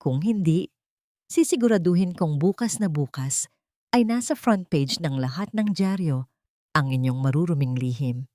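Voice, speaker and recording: A woman's voice: deep voice, lively intonation, moderate pace, dark tone, no room sound, clean studio sound. A woman voicing a wealthy young father who speaks coldly and firmly to protect his family. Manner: cold, firm, threatening.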